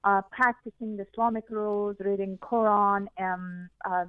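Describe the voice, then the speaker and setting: A woman from Iran with a Persian accent tells her story earnestly, pausing on fillers. Her voice sits in a middle pitch range. The sound is dry and tinny, like a phone line. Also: steady tone, no room sound. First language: Farsi. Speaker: guest. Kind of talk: interview, personal testimony.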